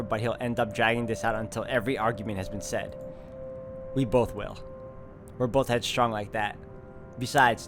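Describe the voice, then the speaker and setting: Medium-pitched man over game music. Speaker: game streamer doing a walkthrough. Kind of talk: reading game text aloud with casual commentary.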